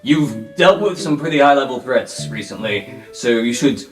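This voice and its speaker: A man's voice: mid-range voice, animated, normal speed, roomy sound, faint music behind, natural voice, rough recording. A man voicing a protective older superhero cousin in a tabletop game, solicitous and apologetic. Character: fussy, protective older cousin hero called a Skull.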